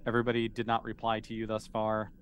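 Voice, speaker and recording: A man acting as game master, improvising narration in a halting way as he thinks aloud. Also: on the low side, completely flat delivery.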